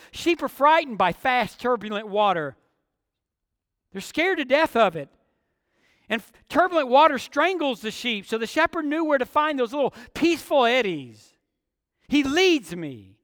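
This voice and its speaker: A man's voice: very high voice, fairly bright tone, dry recording, clean studio sound, big-room sound. A man preaching an expository sermon, with emphatic phrasing and repetition for effect.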